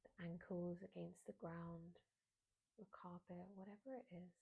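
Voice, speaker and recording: A woman guiding a beginners' meditation in a soft, breathy voice, with soothing phrasing and frequent pauses. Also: fairly low pitch, fairly even intonation, phone-quality sound. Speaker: meditation guide, yoga teacher.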